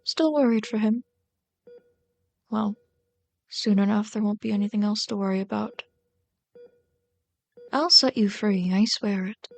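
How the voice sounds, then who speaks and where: A woman's voice: mid-range voice, creaky, a little mellow. A woman playing an obsessive, lovelorn villain in an ASMR roleplay, bitter and pleading, speaking intimately and dramatically with frequent drops toward a whisper. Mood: vengeful, intense. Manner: slow, intimate, dramatic delivery, often dropping to a whisper.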